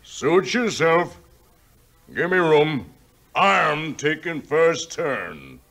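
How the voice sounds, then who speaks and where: An actor playing a surly, boastful backwoods bully with a gravelly voice in a 1950s record drama. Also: male voice, fairly high pitch, sing-song, very slow, a bit bright, exaggerated voice. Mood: surly, gloating.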